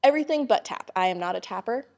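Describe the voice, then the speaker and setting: A woman's voice: medium pitch, animated, quick pace, creaky, a little mellow. A young woman from Hawaii, a podcast guest telling her story in a friendly, upbeat way. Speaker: guest, small business owner. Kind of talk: casual interview chat.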